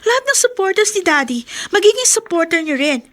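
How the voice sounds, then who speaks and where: An actress with a Filipino accent playing a confident, pushy young daughter in a radio drama, coaxing and insistent. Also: female voice, very high voice, big pitch swings, brisk, fairly bright tone, full character voice.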